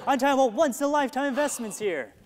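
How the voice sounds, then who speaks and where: A man's voice: very high-pitched, animated, quick pace. An actor playing a relentlessly upbeat, pushy salesman of the future in a comic stage play, full of glib patter. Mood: eager pitching. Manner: glib sales patter, talks over objections. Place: theater stage at a play festival. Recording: echoey stage hall, noticeable hiss.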